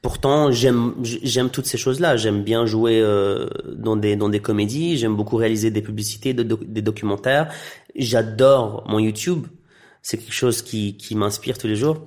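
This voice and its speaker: A man's voice: lowish voice, moderate pace, a little mellow. Guy, arabic first language, grew up in tunisia and belgium, chatty interview guest, lots of ums and restarts. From Iraqi heritage, raised in Tunisia and Belgium. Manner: hesitant, many fillers and restarts. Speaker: interview guest, actor and director. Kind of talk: casual interview chat.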